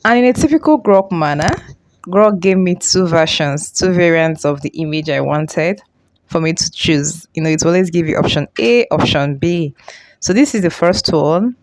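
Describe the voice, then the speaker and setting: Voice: mid-range voice, normal speed, no room sound. A tutorial host explaining things informally, often repeating and rephrasing along the way. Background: music.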